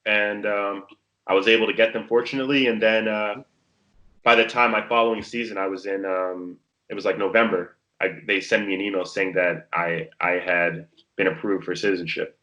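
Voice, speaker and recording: A low-voiced man in his thirties from the Bahamas talks in a laid-back, filler-heavy way as a podcast guest. His pitch stays level and flat, and his tone is bright and forceful. He tells his story in a relaxed, unhurried manner. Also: muffled recording. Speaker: guest, pro basketball player. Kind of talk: casual interview chat.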